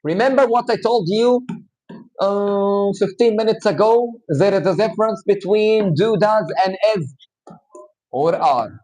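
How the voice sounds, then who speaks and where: A man with an Egyptian accent teaching English grammar to a class. He explains slowly and patiently, with Arabic as his first language. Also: sits high, a little mellow, poor audio. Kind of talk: live classroom grammar lesson.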